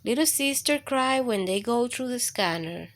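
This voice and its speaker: A woman hosting storytime for children, reading aloud with deliberate phrasing and speaking straight to her young listeners. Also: fairly high pitch, lively intonation, a bit bright.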